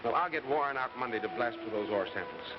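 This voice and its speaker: A man acting as a doctor who talks quickly, calm and skeptical and always reassuring. The sound is old and muffled, with loud music underneath. He stays level-headed throughout. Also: mid-range voice, natural voice. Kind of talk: 1950s television drama acting. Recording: muffled 1950s broadcast audio with loud music.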